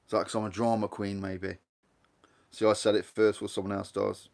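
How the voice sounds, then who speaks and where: A man's voice: fairly low pitch, flat delivery, moderate pace, creaky, darkish tone. A man with a Guernsey accent hosting a casual live stream, rambling along and reading viewer comments aloud as he chats. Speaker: livestream host.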